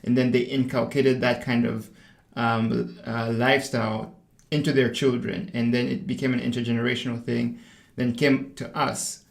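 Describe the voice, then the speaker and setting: A young man from Zambia talks casually as a podcast guest, stumbling through fillers and repeated words. His voice sits at a middle pitch. The recording carries a noticeable room echo. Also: little pitch movement, normal speed, creaky. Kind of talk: casual conversation. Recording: echoey room.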